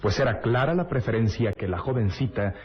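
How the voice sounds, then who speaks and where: A man's voice: mid-range voice, a little fast. A man narrating an old-time radio drama in a formal scripted style. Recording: dull old radio recording, music behind.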